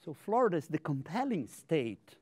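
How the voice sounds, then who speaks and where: An older Brazilian man with a Portuguese accent gives an animated lecture in a large auditorium, often tagging sentences with "okay?". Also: a little high, moderate pace, breathy edge. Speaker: guest speaker, scientist. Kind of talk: conference lecture to an audience.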